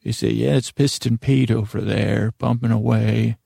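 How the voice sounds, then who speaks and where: Male podcast monologist, very gravelly, close dry sound, improvised and meandering, keeps interrupting himself. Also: mid-range voice, steady tone, warm tone, no echo. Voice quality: gravelly, creaky. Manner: meandering, halting, self-interrupting. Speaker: podcast host.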